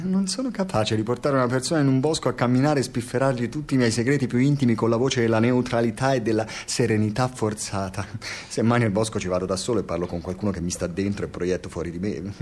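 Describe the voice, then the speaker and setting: An actor playing a sardonic, neurotic Italian expatriate in a radio drama, speaking in a halting, digressive monologue with an Italian accent. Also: male voice, fairly low pitch, darkish tone, a little hiss or hum, close to an everyday voice. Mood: brooding, confessional. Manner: halting, digressive, swearing, swings from muttering to exclaiming. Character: bitter, rambling precarious Italian expatriate man, sardonic and neurotic.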